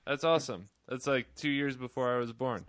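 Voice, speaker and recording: A man's voice: mid-range voice, brisk, fairly bright tone. A man in his thirties co-hosting an interview, eager and stammering with repeated restarts. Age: 30s. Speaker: podcast co-host. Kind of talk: casual interview chat.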